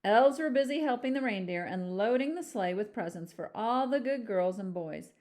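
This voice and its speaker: Female storybook reader, teacher type, animated delivery, talks directly to children. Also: a little high, moderate pace. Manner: animated, addresses young listeners with questions. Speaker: teacher reading a picture book aloud. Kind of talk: children's storybook read-aloud with chatty asides.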